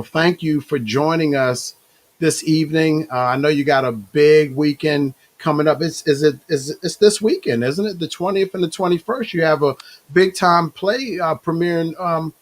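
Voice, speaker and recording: A hoarse man hosting a talk show, talking in a halting way with many fillers and self-corrections. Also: a little high.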